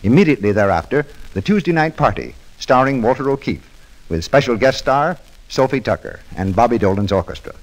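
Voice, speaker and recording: A man's voice: medium pitch, animated, brisk, low-quality recording. An American man making formal, emphatic announcements in 1930s radio style.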